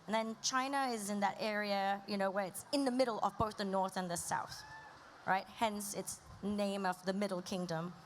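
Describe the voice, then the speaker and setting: A woman from Malaysia with a British accent, speaking as a panelist and explaining her points in a measured way. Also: mid-range voice, fairly even intonation, slight background noise. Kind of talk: conference panel talk.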